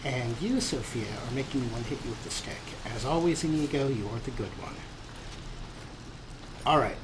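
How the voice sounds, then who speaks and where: A man's voice: mid-range voice, animated, normal speed. Playful male gamer over noisy ambience. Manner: playful, talks to game characters. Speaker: let's play host. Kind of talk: casual game commentary. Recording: clear game ambience noise.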